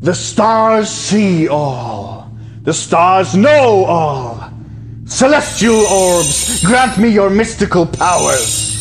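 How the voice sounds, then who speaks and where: Guy doing scheming anime bad guy, kinda high voice, swoops around, commanding and theatrical. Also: creaky, heavily acted. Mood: grandiose menace. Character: haughty scheming male villain with mystical powers. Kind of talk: anime fan dub acting. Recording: music behind the voice.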